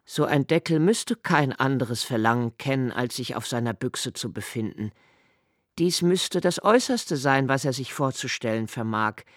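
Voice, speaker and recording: An actor playing a brooding, solitary male lodger who muses precisely and haltingly in a literary radio play monologue. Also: fairly high pitch, dry recording, clean studio sound. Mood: earnest, fixated. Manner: deliberate, precise, halting self-corrections. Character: brooding, obsessively observant solitary male lodger, musing alone.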